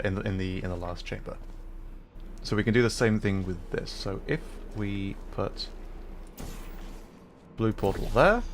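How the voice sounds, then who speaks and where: Low-voiced man thinking aloud while gaming. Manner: hesitant, piecemeal thinking aloud. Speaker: livestreamer. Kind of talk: casual game commentary, explaining puzzles aloud. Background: faint noise and game ambience.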